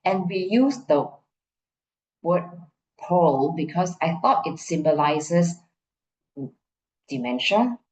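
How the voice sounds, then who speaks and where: A woman's voice: on the low side, animated, takes it slowly, long pauses, a little mellow. A middle-aged woman with a Malaysian accent giving an informal explanatory talk, repeating phrases for emphasis and checking in with her listeners. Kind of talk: conference presentation, informal and explanatory. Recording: muffled, echoey room.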